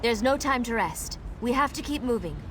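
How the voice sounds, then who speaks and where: Casting: actress as brave female fighter for a fantasy game, higher-pitched, earnest with dry wit, clear rumbling ambience behind. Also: expressive, moderate pace, noisy background, natural voice. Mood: urgent, commanding. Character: brave determined woman warrior companion, wryly humorous. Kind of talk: video game voice acting.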